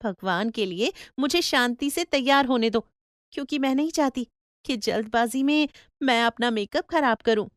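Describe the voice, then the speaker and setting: A woman voicing a kind, excitable young married village woman in a cartoon story, pleading and gushing. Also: a little high, normal speed, dry recording, clean studio sound, pitched above the performer's own voice, close to an everyday voice. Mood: exasperated. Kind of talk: Hindi cartoon story acting.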